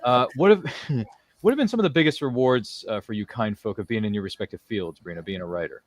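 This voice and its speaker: A man's voice: fairly low pitch, animated, quick pace. A man in his thirties hosting a casual livestream interview, effusive and jokey, with very colloquial phrasing. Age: 30s. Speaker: talk show host.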